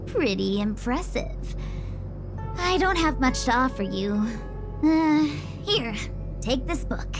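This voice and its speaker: A woman's voice: sits high, lively intonation, takes it slowly, heavily acted. A woman playing a laid-back, chatty young diviner's aide in a video game, talking in a casual, breezy way. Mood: casual, helpful. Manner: casual, breezy, drawling slang. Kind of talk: video game cutscene acting. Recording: loud music behind the voice. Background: music.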